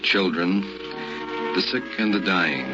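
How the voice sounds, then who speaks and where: An actor playing a grown man recounting a boyhood ordeal, narrating an old-time radio drama in a grave, measured way. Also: low-pitched. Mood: somber. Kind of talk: scripted first-person radio drama narration. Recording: dull old radio recording. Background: loud dramatic music.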